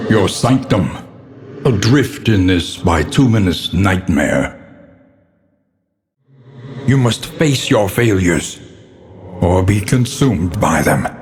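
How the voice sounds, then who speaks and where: A male actor playing a grave, foreboding narrator in a gothic horror game, speaking in an ornate, theatrical and deliberate manner. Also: deep voice, in a large hall. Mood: ominous.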